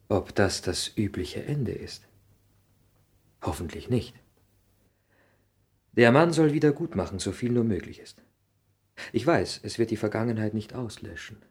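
A headstrong, earnest young son in 1960s radio drama style. The voice is very husky and fairly low, with animated intonation. He speaks with urgent, theatrical pleading. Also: heavily acted. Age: young adult.

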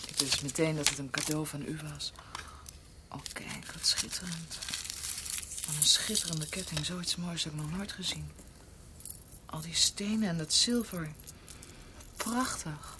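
An actress playing a gentle young woman in a Dutch radio drama, speaking earnestly and emotionally, often breathy. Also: low voice, measured pace, pauses often, heavy background noise. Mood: delighted, excited. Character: gentle young woman, devoted niece of a doctor.

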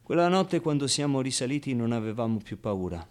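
A naive young orphan boy, acted by a man in a medium-pitched voice, tells his own story in a radio play. He is streetwise and tenderly confiding, with halting pauses and repeated words as he goes. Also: very animated, a little mellow, natural voice. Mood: reflective. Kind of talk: theatrical radio monologue, first-person storytelling.

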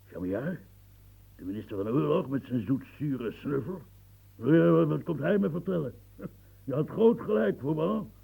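A man's voice: on the high side, expressive, normal speed, vocal fry, mellow, husky, full character voice, low-quality recording. A man playing an aging, embittered French marshal in a radio play, stammering and repeating himself when agitated. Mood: bitter, worked-up.

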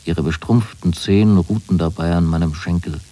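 An actor playing a naive, wryly fastidious son in a radio drama, telling of his new life in measured, precise, deliberate phrases. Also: sits low, steady tone, mellow. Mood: wry, fastidious wonder. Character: young man writing letters to his mother, wryly fastidious and naive. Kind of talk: literary radio drama monologue.

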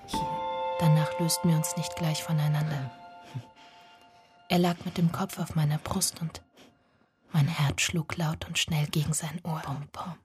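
An actress playing a woman who remembers her lost lover, narrating a literary radio drama in a hushed, deliberate, intimate way. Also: low-pitched, flat delivery, soft background music. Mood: hushed, tender. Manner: hushed, deliberate, often breathy.

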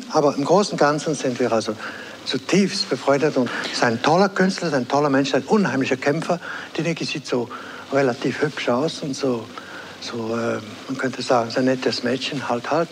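A man, an artist, reminiscing spontaneously in an interview, halting and searching for his words. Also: medium pitch, slight background noise. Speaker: artist recalling a collaborator.

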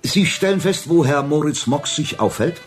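Pompous man as doctor, lively inflection. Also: fairly high pitch, normal speed, faint music behind, natural voice. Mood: officious. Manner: pompous, stilted, formal wording. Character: pompous, self-important male client with a doctorate. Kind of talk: German radio play acting.

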